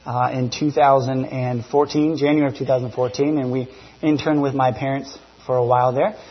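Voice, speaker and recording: A man in his thirties from New Zealand gives an informal church testimony, conversational and halting, often correcting himself. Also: medium pitch, muffled recording. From grew up in New Zealand. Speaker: missionary giving a church presentation. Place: church, speaking to a congregation. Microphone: church PA microphone.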